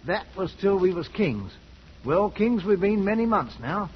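An actor in a 1940s radio drama playing a proud, stubborn British adventurer who made himself king, blustering and rough-spoken. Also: high-pitched, big pitch swings, unhurried, exaggerated voice. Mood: defiant. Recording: muffled 1940s radio recording with music.

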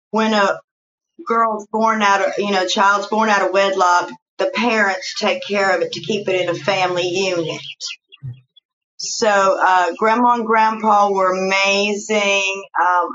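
Slow-talking Southern-accented woman. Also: medium pitch, little pitch movement, dull audio, a little hiss or hum. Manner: slow, warm storytelling with fillers. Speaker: guest. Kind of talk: casual interview.

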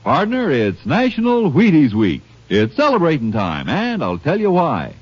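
A man's voice: fairly high pitch, wildly swooping pitch, normal speed, slightly rough, faint music behind. A man delivering a 1940s radio advertisement in a folksy, chummy salesman's patter. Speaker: commercial pitchman. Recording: dull 1940s radio transcription.

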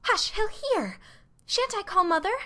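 A woman's voice: extremely high pitch, sing-song, a little slow, bright, forceful tone, voice pushed up, exaggerated voice. A woman voicing a shy, flustered teenage girl with a petulant streak and a faltering manner in a storybook reading. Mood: nervous. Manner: faltering, stammering.

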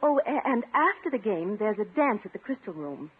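Husky, coy radio sweetheart. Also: female voice, mid-range voice, big pitch swings, a little fast. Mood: coy, sweet. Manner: coy, polite, gently manipulative. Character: coy, genteel woman, sweetly wheedling admirer. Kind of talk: 1950s radio sitcom acting. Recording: dull, muffled 1950s radio recording.

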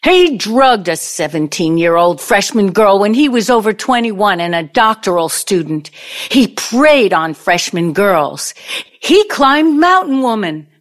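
An older ex-girlfriend out for revenge acted by a woman in an audio play. She speaks dramatically and pointedly at a middling pitch, nursing old wounds as she reminisces. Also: very animated, a little slow. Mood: angry, accusing. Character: bitter, wounded, vengeful ex-girlfriend from mogul's college days.

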